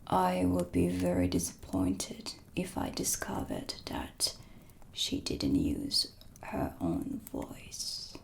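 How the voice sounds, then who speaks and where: A woman playing a proud, mysterious dark witch with cool menace, whispering slowly and theatrically in an ASMR roleplay. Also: rumbling low, wildly swooping pitch, exaggerated voice. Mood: curious, appraising. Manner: slow, breathy, theatrical, deliberate whispering. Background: dark ambient music.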